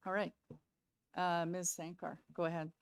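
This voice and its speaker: A woman chairing a public planning hearing, brisk and procedural, losing her voice. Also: on the low side, clean tone, no echo. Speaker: committee chair.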